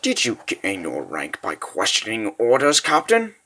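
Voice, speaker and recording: A man's voice: on the low side, huge pitch swings, unhurried, sharp tone, heavily acted. A man playing a stern, burdened king in a comic dub, speaking with formal command. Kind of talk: dramatic comic dub acting.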